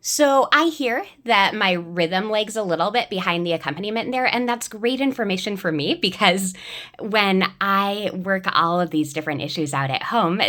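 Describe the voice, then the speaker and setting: Woman, choir director type, somewhat low register, informal step-by-step instructional delivery. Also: a bit bright. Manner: explains step by step. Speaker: choir director giving a software tutorial. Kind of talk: informal spoken tutorial.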